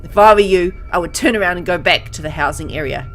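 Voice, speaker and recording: A video game player reading the part of an eerie grinning boy who gives measured warnings in a visual novel. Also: medium pitch, a bit bright, soft background music, close to an everyday voice. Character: eerie grinning pale-eyed boy warning travellers away.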